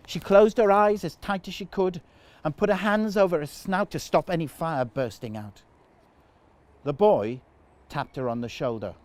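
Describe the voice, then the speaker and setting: A man reading a children's story aloud as a storyteller, with dramatic, deliberate phrasing. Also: on the high side, darkish tone. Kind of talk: expressive children's story narration.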